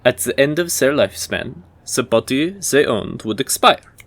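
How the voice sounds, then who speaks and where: A man playing a measured, scholarly university professor who lectures formally and deliberately in a fantasy audio roleplay. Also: medium pitch, very animated, a bit bright, dry recording. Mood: patient, dry. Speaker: professor giving a history lecture.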